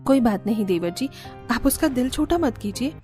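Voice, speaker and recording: A woman voicing a gentle, sensible young daughter-in-law from a humble home in a cartoon story, polite and explanatory. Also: a little high, expressive, moderate pace, soft background music, natural voice. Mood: calm, humble. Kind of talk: Hindi cartoon story dubbing.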